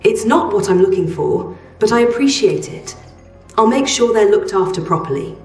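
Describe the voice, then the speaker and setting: An actress playing a guarded, exacting trader in a post-apocalyptic video game, speaking in a measured, terse, matter-of-fact way. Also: female voice, mid-range voice, lively intonation, darkish tone, natural voice. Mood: reserved. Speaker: quest-giving trader. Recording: roomy, slightly distant, music behind.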